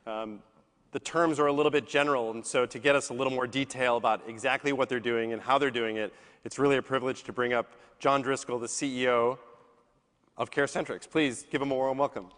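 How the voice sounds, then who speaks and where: Hoarse male panel moderator. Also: mid-range voice, steady tone, fairly quick, a bit bright. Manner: subdued, polite. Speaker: session moderator. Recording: long hall reverb.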